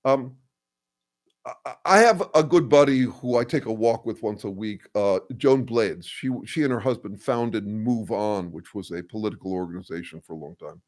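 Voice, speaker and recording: An older man hosting a casual livestream, thinking aloud with many ums, uhs and restarts as he answers viewers, and describing his own voice as a bass. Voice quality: says he has a bass voice. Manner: thoughtful, halting, full of ums and restarts. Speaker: host answering viewer comments.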